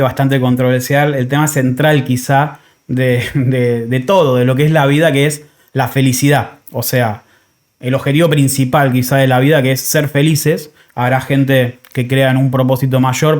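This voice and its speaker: Man, thirties, Argentine accent, podcast host, casual, reflective, mid-pitched. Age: 30s. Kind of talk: casual conversational podcast talk.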